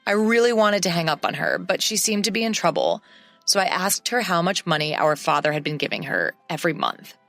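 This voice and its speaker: A woman reading a first-person story as narrator in a steady scripted telling, close to the mic. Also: on the low side, fairly quick, creaky, dry recording, soft background music.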